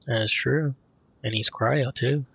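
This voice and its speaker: A man with a mid-pitched voice reacts casually as he plays a game. His remarks are short and low-key, on a slightly dull mic with faint music behind. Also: fairly even intonation, slow, creaky, a bit bright. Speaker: gaming video creator. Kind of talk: casual let's play commentary.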